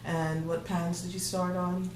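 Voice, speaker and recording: Woman interviewer, lowish voice, echoey room. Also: little pitch movement, moderate pace, a little mellow, slight background noise. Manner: prompting, finishes the guest's sentences. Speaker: interviewer, host. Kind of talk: conversational interview. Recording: echoey room, faint ambience.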